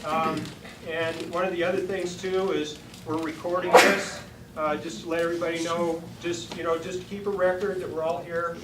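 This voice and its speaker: A woman with a deep voice, chatting informally in a folksy way as she moderates a public forum. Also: level tone, moderate pace. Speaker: forum moderator and party chair. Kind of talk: informal public opening remarks. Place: meeting room at a party headquarters. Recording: echoey room, distant mic, clear noise.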